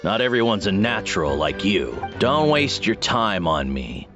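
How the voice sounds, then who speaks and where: Flippant, self-deprecating game slacker; fairly low, animated, over prominent music. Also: male voice, measured pace. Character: flippant, defeatist male slacker gamer. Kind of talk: video game voice acting. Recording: clear music behind.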